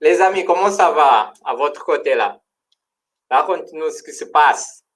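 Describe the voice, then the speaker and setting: A man's voice: a little high, animated, fairly bright tone. Laughing man hosting a live stream. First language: not French. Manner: laughs often, halting restarts. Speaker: co-host of a livestream. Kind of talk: casual live-stream chat.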